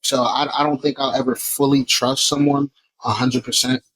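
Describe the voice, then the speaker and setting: Podcast guest from Florida, husky mid-pitched voice, thoughtful and candid. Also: male voice, little pitch movement, normal speed, a bit bright, low-quality recording. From Florida, USA. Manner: reflective, candid, casual cursing. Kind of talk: casual podcast chat.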